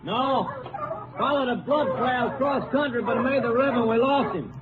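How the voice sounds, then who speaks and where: A man's voice: very high-pitched, moderate pace. A man playing a worked-up posse rider in an old radio western. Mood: worked-up, defeated. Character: worked-up male posse rider back from manhunt. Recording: tinny, noisy radio recording, dogs barking.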